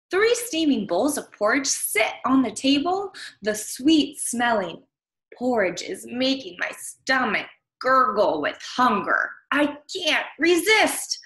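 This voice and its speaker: A woman acts out an eager, hungry young girl from a storybook play. Her delivery is bright and dramatic, exaggerated for children. The sound is a roomy home webcam recording. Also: fairly high pitch, voice pushed up, exaggerated voice. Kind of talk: expressive storybook play reading.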